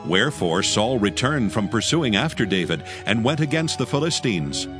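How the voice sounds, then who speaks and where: A man giving scripted narration of dramatized scripture in a solemn, measured storytelling manner. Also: on the low side, sing-song. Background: faint background music.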